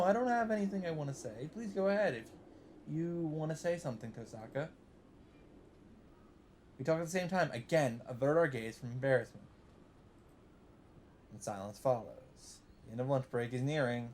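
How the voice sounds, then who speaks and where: A man hosting a let's play, reading visual novel text aloud in an even voice and breaking in with blunt, swearing asides. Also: on the high side, a little hiss or hum. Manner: reads narration evenly, breaks in with swearing asides.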